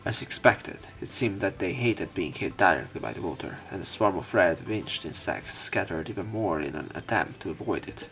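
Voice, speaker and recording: A man with a low, creaky voice reads game text aloud as let's play narration. His delivery is dramatic, giving the dialogue acted urgency. Game music runs behind his voice. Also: animated, moderate pace, hardly any pauses, fairly bright tone, rough recording.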